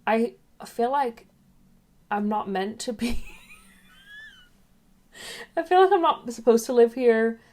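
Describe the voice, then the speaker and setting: A woman's voice: a little high, expressive, slow, pauses often, a little mellow. Young woman, chatty webcam vlogger who rambles off topic. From England, raised in Ireland. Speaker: YouTuber. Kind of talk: casual chatty vlog.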